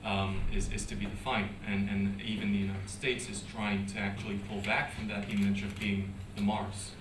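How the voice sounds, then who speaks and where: A man's voice: fairly low pitch, flat delivery, normal speed. Hesitant man on a panel, echoey hall. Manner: hesitant, many fillers and restarts. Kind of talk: panel discussion. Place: conference hall. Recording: echoey conference hall from a distance, background noise.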